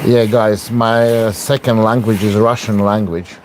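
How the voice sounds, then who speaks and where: Man, arm wrestler, lowish voice, broken English, casual training vlog, faint background noise. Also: flat delivery, unhurried, darkish tone. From Latvia. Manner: broken English, chatty, talks through exertion.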